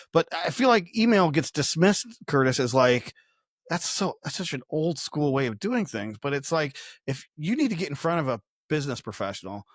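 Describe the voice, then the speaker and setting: A man's voice: mid-range voice, no room sound, studio quality. A man co-hosting a podcast, talking casually and making his points emphatically with repetition. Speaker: podcast co-host. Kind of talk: casual opinionated discussion.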